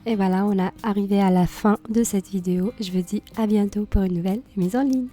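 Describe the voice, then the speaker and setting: Hoarse woman with a mellow voice. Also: mid-range voice, fairly quick, soft background music. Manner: calm, explains step by step with small fillers. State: slightly hoarse from a cold. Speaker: cooking channel host. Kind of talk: cooking tutorial voice-over.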